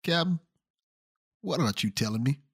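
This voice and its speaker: A man's voice: medium pitch, expressive, normal speed, slightly rough, no echo, studio quality. A man playing an earnest, dutiful young spaceship crewman in an audio drama, speaking respectfully and idealistically. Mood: suspicious.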